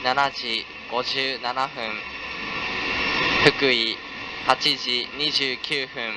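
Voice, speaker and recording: A man's voice: medium pitch, steady tone, extremely slow. A man making a polite, formal scripted announcement as a train conductor. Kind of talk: live scripted onboard announcement. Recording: loud train roar over PA announcement. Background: loud train running noise.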